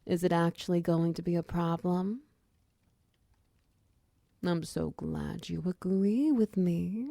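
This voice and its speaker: A woman's voice: fairly low pitch, takes it slowly, long pauses, dry recording, clean studio sound, heavily acted. A woman playing a confident, flirtatious female minotaur wizard who runs a potion shop, speaking to the listener in intimate, teasing roleplay ASMR. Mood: assured, coaxing. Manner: teasing, coy, softly breathy in places.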